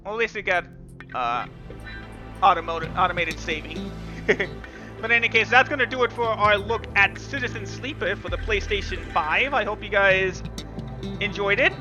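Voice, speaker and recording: A man's voice: high voice, normal speed. A man hosting a gaming video, reading game text dramatically with casual asides. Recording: dull lo-fi sound with game music.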